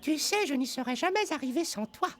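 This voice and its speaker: A woman's voice: high voice, lively intonation, brisk. A woman voicing a modest, earnest young male rabbit hero in a boyish cartoon manner. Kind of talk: French cartoon character acting.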